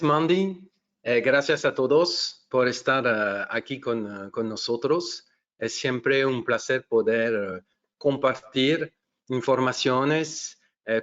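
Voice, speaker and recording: A middle-aged man from France with a French accent, presenting a webinar in a halting way with many fillers and restarts. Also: medium pitch. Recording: dull lo-fi webinar audio.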